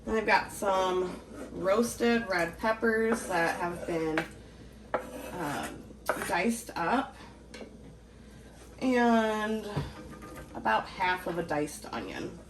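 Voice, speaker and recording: A woman hosting a home canning tutorial, talking in a relaxed, chatty way, a little congested from a cold. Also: mid-range voice, drawn-out words, pauses often, roomy sound, background noise. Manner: relaxed, chatty, laughs easily. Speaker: home canning channel host. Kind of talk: casual cooking tutorial.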